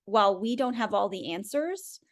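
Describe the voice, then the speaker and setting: A woman's voice: medium pitch, very animated, normal speed. A woman speaking as an expert podcast guest, explaining in a conversational way and often checking in with the listener.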